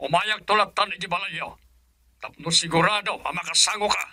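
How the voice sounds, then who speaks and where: A man's voice: high-pitched, moderate pace, a crowd in the background. A man with an Ilocano accent playing a stern, wrathful older father in a radio drama. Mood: cold, suspicious. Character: stern, wrathful father resentful of his son-in-law.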